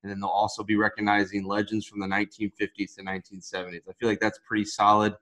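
Male sports podcast host, low voice, upbeat, leads with questions. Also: monotone, fast, sharp tone, poor audio. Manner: upbeat, steers the talk with questions. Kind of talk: casual sports talk.

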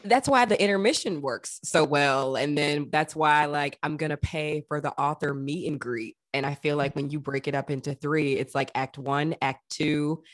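A woman hosting a casual interview, chatty and expressive, with a self-deprecating way of talking. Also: deep voice, moderate pace, few pauses, no room sound. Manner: expressive, self-deprecating, acts out quoted thoughts. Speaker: podcast host, actor.

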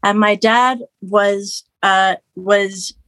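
A woman's voice: medium pitch, extremely slow, no room sound, clean studio sound. A middle-aged woman from Canada who grew up in Los Angeles, a musician talking casually in an interview, full of restarts and fillers. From Canada, raised in Los Angeles. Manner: animated, many restarts and fillers, quotes others in raised voice. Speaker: guest musician. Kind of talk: conversational interview.

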